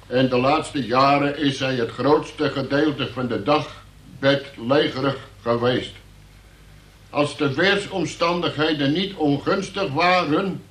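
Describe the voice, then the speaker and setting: An actor playing a dutiful police sergeant who formally and haltingly reads out a report in a radio play. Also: male voice, on the high side, fairly bright tone. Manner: formal, officious, halting delivery of official report. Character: dutiful police sergeant reading out a suspect's confession. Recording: roomy, echoing radio play recording.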